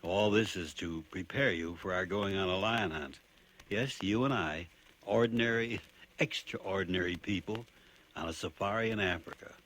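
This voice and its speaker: A man playing a skeptical playwright, narrating his safari in measured, wry phrases for a radio drama. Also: sits low, fairly bright tone, slight background noise. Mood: wry storytelling.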